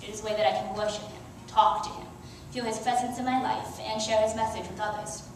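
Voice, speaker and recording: An earnest teenage girl reads her youth sermon from a church lectern, stumbling now and then on a word. Her voice sits at an ordinary pitch and washes through a very echoey sanctuary, picked up from a distance with a faint hum of room noise. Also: steady tone, a little fast. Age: teens. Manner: earnest, with soft laughs and small restarts. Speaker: youth speaker. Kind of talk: reading a prepared sermon. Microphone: headset mic, filmed by far camera.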